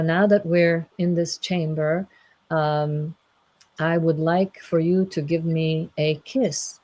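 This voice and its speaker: A woman storyteller voicing a proud, self-possessed hag bride, deliberate and insistent, in an informal retelling. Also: low voice, mellow, full character voice. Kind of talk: informal comic oral storytelling.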